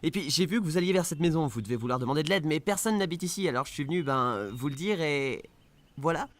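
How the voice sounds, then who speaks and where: A man's voice: a little high, animated, quick pace, lightly acted. An actor playing an awkward, chatty young man from a village, rambling eagerly in an audio drama. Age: young adult.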